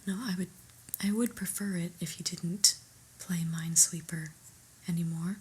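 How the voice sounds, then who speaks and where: A woman whispering an ASMR roleplay as a prim, exasperated new office boss, deadpan and politely condescending. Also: lowish voice, normal speed, husky. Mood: patient reproach.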